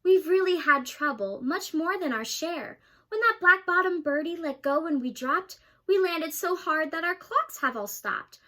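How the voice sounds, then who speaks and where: A woman's voice: very high-pitched, animated, unhurried, sharp tone, full character voice. A young woman voicing a tiny, worried male mayor who pleads for help. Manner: anxious, pleading.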